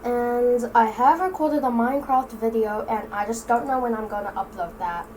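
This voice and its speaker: A woman's voice: fairly high pitch, moderate pace, noisy background. A young woman hosting a gaming channel, chatting in a casual, offhand and joking way over gameplay. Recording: commentary over loud game music.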